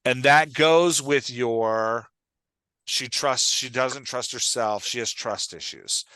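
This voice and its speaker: A man with a bright, forward voice and a mid-range pitch talks like a coach on a podcast. He explains in a conversational, instructive way, thinking aloud and checking for understanding. The sound is close and dry. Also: slow pace, clear, resonant tone, no room sound. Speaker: podcast host and writing coach.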